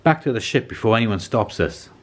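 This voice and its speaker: A man's voice: mid-range voice, animated, fairly quick, voice pushed up, close to an everyday voice. A man voicing a bossy, blustering pirate chief in a children's storybook, with a commanding, exclamatory delivery. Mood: urgent.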